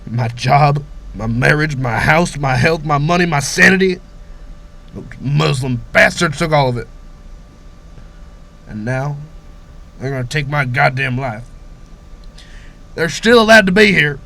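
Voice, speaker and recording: Man acting a bitter old father, bright forceful voice. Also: on the high side, animated, heavily acted. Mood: angry, resentful. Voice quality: wheezy, breathy from lung disease. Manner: ranting, embittered, quick to shout. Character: bitter, dying older father, bigoted former firefighter, furious. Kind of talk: audio drama acting.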